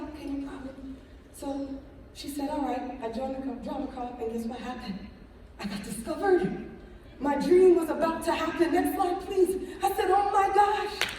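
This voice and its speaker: A middle-aged woman telling her story on stage as a motivational speaker, animated, tagging her lines with "right?". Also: fairly high pitch, slight background noise. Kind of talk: storytelling talk on stage with slides. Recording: echoey hall from far off.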